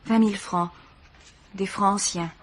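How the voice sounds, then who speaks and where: An actress playing a grieving, dignified bourgeois mother in a radio mystery, speaking formally in a measured, sorrowful way. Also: medium pitch, steady tone, husky. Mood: sorrowful, restrained. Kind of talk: French radio mystery drama acting.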